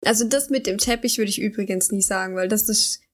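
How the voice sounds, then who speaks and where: A young woman co-hosts a relaxed, informal podcast. She speaks at a middling pitch for a woman, in an everyday conversational way. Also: fast talker. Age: young adult. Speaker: podcast co-host. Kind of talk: casual chat.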